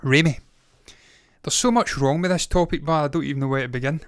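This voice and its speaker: Thirtysomething guy ranting about movies, smooth voice, dead dry close mic. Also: a little high, a little fast, no echo. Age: 30s. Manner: opinionated, rambling, jokey asides. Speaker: movie news host. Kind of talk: casual movie news chat, reading chat.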